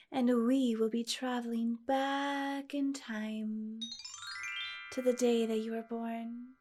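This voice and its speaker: A woman guiding a meditation like a gentle storyteller, speaking slowly and softly with hushed, breathy phrase endings. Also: a little high. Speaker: guided meditation host. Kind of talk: soothing guided meditation storytelling.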